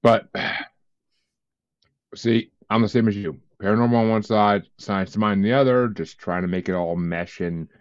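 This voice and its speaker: A low-voiced man hosts a loose, joking podcast chat, cursing freely and laughing along. His sound is dull and lo-fi, with a dry, close feel and no room around it. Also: fairly even intonation, normal speed, clean tone, dry recording. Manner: swears a lot, joking and laughing. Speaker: podcast host.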